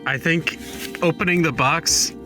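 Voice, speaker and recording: A man's voice: on the high side, measured pace, over music. A man playing a tabletop game, chatting casually and thinking aloud. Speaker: player in a tabletop role-playing podcast.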